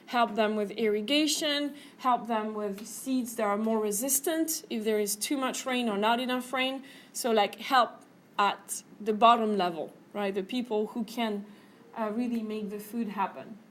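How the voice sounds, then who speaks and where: A woman's voice: fairly high pitch, measured pace, a little hiss or hum. A woman teaching a class, speaking earnestly with many fillers and a habit of ending points with "right?". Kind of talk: classroom lecture.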